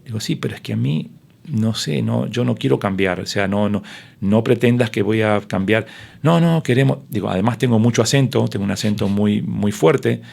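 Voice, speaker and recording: A man's voice: lowish voice, normal speed, creaky, darkish tone, husky. A middle-aged man, a sports broadcaster whose first language is Spanish, telling his story in an interview with many fillers and restarts.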